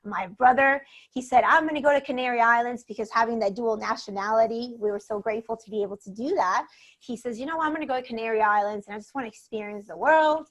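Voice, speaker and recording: A woman from Venezuela whose first language is Spanish, talking on a podcast. Her voice sits a little high, and she tells her story in an animated way, laughing often and acting out what people said. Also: fast talker. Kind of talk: casual storytelling chat.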